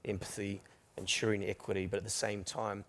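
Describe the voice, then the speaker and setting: Gravelly-voiced New Zealand man. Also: on the low side, little pitch movement, a little fast, a bit bright, slight background noise. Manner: candid, storytelling, with restarts. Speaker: panelist. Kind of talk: panel discussion. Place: conference stage.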